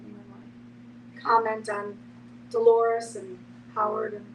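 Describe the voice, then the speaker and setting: A woman's voice: mid-range voice, level tone, slow. A woman moderating a group discussion in a conversational, explanatory way. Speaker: moderator, nonprofit co-founder. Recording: distant mic with clear background noise.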